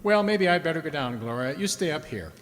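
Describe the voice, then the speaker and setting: Radio play: man plays nervous henpecked husband, high-pitched, hesitant, swooping delivery. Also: animated, a little fast, close to an everyday voice. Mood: uneasy. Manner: stammering, hesitant, easily alarmed. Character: flustered, anxious henpecked husband. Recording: echoey hall, long reverb.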